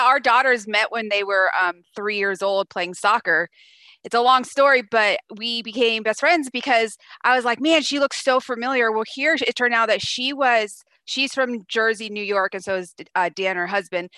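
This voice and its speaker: Bright-voiced woman podcast host. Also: on the high side, moderate pace, dry recording. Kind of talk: podcast interview.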